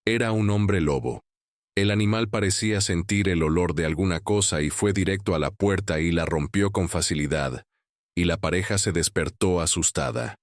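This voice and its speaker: A man narrating scripted horror stories in a grave, measured manner. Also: low voice, no echo, clean studio sound.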